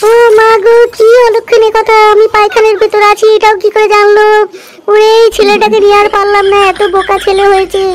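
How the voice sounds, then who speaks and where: Woman doing a very high-pitched, whiny cartoon mother. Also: noisy background. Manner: whiny, melodramatic. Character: flustered, grumbling village mother dodging a debt collector. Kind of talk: comedy cartoon voice acting.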